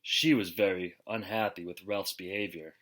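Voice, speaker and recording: A man reading a children's picture book aloud expressively, with deliberate, dramatic emphasis and pauses. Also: low voice, fairly even intonation, fairly bright tone. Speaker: storybook reader.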